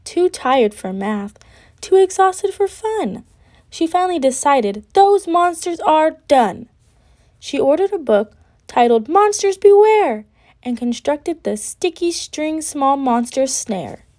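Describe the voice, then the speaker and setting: A woman reading a children's picture book aloud with an expressive, deliberate delivery. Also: high-pitched. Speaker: storybook reader.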